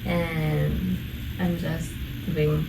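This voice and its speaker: A woman's voice: deep voice, level tone, extremely slow, vocal fry. A woman in her twenties with a Japanese accent, answering interview questions hesitantly with many fillers. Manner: hesitant, lots of fillers, searching for words. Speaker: guest. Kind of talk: casual interview. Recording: echoey room from a distance, noisy.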